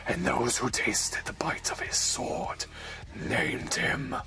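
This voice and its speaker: A man voicing an ominous narrator of a dark fantasy legend, reading gravely in a rough, breathy whisper. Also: medium pitch, lively intonation, exaggerated voice. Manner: slow, grave, dramatic reading.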